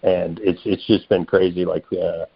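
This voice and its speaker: Middle-aged male co-host, very low pitch, loose unscripted chat, dull lo-fi sound. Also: little pitch movement, normal speed, gravelly, husky, no room sound. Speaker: podcast co-host. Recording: dull, lo-fi call audio.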